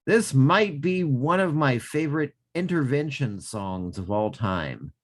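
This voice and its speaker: Lively, playful male podcast co-host. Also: mid-range voice, a little slow. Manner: playful, lively delivery with comic asides. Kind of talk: casual podcast banter.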